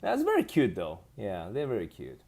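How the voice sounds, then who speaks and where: A man's voice: fairly low pitch, wildly swooping pitch, normal speed, vocal fry, darkish tone. A man with a Japanese accent hosting a casual live stream, reading viewer comments aloud and laughing often as he chats.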